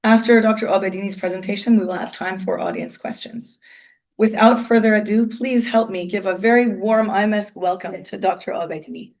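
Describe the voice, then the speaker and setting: A woman hosts a university talk and reads a prepared introduction of the guest. Her delivery is measured and formal, as if from notes. Her voice sits at an average pitch for a woman. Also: steady tone, darkish tone, rough recording. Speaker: event host introducing the speaker. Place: lecture hall.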